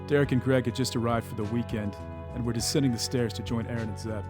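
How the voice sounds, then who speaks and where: A man's voice: medium pitch, fairly even intonation, fast talker, natural voice. A man narrating a scripted audio drama. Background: music.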